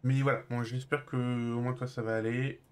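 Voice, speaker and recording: A man's voice: medium pitch, little pitch movement, clear, resonant tone. Casual, hesitant Frenchman chatting on stream. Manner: hesitant, halting, trailing off. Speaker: live streamer playing a role-play game. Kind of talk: casual live-stream chat and improvised role-play.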